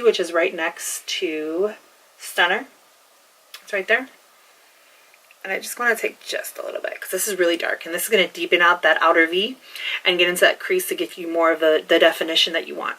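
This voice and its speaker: A woman's voice: fairly low pitch, normal speed, pushed and bright, rough recording. A woman talking through a makeup tutorial at home, chatty and gushing as she gives step-by-step instructions. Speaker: beauty vlogger.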